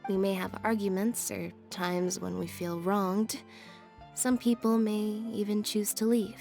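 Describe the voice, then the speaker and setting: An actress playing a calm, sincere young woman in a video game, speaking gently and deliberately over music. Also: mid-range voice, gravelly. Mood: tender, sincere. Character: calm, sincere young woman devoted to her adoptive family. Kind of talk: English video game voice acting.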